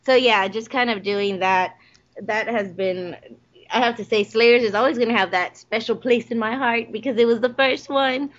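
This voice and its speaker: A woman's voice: a little high, normal speed. A woman with a Texan accent, a voice actress chatting as a guest, laughing often with many fillers. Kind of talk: casual interview chat. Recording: dull lo-fi podcast audio.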